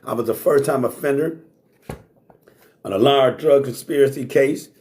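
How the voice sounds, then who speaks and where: A man's voice: mid-range voice, normal speed, a little mellow. A middle-aged man hosting a talk show, talking bluntly and casually, swearing freely. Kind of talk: casual monologue to live chat.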